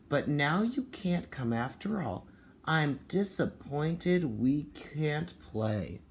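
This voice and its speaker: A man's voice: mid-range voice, darkish tone, a little hiss or hum. A man speaking as a kindergarten teacher, explaining and reading aloud to young children and parents.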